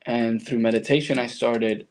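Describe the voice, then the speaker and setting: A man's voice: medium pitch, steady tone, normal speed, a little mellow, a little hiss or hum. Latin American man reflecting aloud. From Argentina. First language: Spanish. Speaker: guest. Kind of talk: reflective personal storytelling in conversation.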